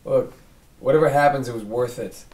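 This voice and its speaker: A man's voice: mid-range voice, fairly quick, darkish tone, natural voice. Blunt, cocky cop played by a man, roomy sound. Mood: defiant. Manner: blunt, casual, sarcastic. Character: gruff, cocky, needling male police detective. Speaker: actor reading a police detective at a table read. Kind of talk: scripted table read. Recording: roomy, distant mic, faint background noise.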